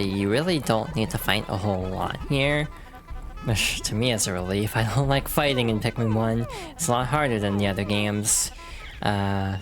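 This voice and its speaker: A VTuber streamer with a hoarse, froggy voice from allergies, chatting casually while playing a game and laughing at their own slips. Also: mid-range voice. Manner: chatty and self-deprecating, many fillers, laughs through words.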